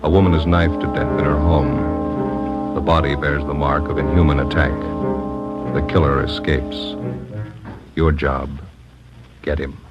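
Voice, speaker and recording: A male announcer delivering a slow, grave, ominous opening for a radio crime show. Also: extremely low pitch, level tone. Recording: dull old radio broadcast over loud brass music.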